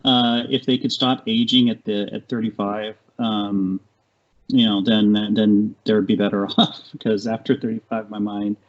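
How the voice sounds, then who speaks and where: Halting man in his forties. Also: on the low side, level tone. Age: 40s. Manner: halting, many fillers and restarts, laughs along. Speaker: podcast guest. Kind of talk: casual chat. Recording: dull lo-fi audio.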